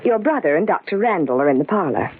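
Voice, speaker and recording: An actress playing a deceitful private nurse, outwardly polite and defensive, in an old-time radio thriller. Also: female voice, on the low side, sing-song, fast talker, breathy edge. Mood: politely deferential. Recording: noisy, narrow-band old radio recording.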